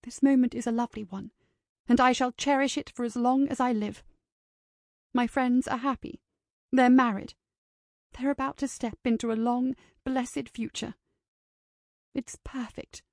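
A woman's voice: on the high side, moderate pace, darkish tone, no echo, studio quality, lightly acted. A woman voicing an earnest, stubborn romantic heroine from a novel, heartfelt and spirited. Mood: wistful, hopeful.

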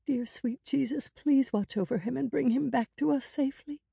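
A woman voicing a loving, anxious female character in an audiobook, pleading and emotional, with a breathy delivery. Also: sits high, normal speed, dark tone, no room sound, clean studio sound, pitched above the performer's own voice, exaggerated voice. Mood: anxious, desperate.

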